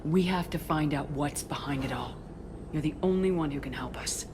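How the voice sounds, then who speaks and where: A woman's voice: low-pitched, moderate pace, background noise, close to an everyday voice. An actress playing a steely but compassionate female space commander in a video game, with a terse, authoritative manner. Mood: grave, gentle. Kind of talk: cinematic video game dialogue.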